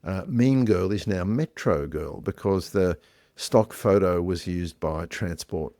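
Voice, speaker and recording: A man co-hosting a talk show, telling a story in a relaxed way with plenty of ums and uhs. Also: sits low, takes it slowly, dry recording, studio quality. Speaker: podcast co-host. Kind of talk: casual chat.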